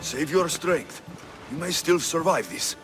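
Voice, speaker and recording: Resolute mercenary hero, over music. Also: male voice, fairly high pitch, lively intonation, a little slow. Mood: somber. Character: male mercenary hero, resolute, protective, terse. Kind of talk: dramatic video game acting.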